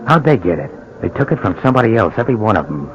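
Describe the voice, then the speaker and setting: A man's voice: on the low side, big pitch swings, racing. An actor playing a pushy, streetwise stick-up crook in an old-time radio drama, with tough, slangy wise-guy talk that bullies and coaxes. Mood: pushy, persuasive. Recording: dull, noisy old radio broadcast recording.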